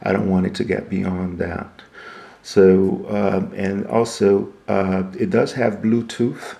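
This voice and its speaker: A man's voice: low voice, flat delivery, takes it slowly, gravelly, warm tone. A man giving a casual, unscripted walkthrough of equipment as a reviewer, explaining things step by step. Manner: explanatory, step by step, asks and answers own questions. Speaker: product reviewer.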